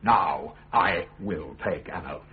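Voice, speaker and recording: An actor with a British accent playing a principled, defiant prisoner in a radio courtroom drama, speaking in measured, lawyerly oratory. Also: male voice, deep voice, narrow, tinny audio. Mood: indignant, solemn. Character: principled accused statesman, grave, eloquent, defiant prisoner.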